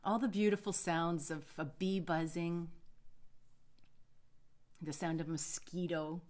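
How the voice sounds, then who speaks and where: A woman speaking off the cuff as a meditation guide, soothing and unhurried, meandering between pauses. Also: lowish voice, steady tone, darkish tone. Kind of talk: unscripted live talk and guided meditation.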